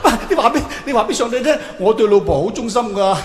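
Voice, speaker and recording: A man's voice: very high voice, expressive, fast talker, pitched above the performer's own voice. A man in a stand-up bit voicing a flustered dead man pleading at heaven's gate, whining and exclamatory, with a Hong Kong Cantonese accent. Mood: flustered protest. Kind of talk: stand-up comedy character bit. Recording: echoey hall with long reverb, faint noise.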